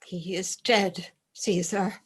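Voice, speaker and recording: A woman's voice: fairly low pitch, steady tone, slow pace, slightly rough. A woman playing a solemn, loyal soldier bearing grim news in a Shakespearean radio play. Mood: grave.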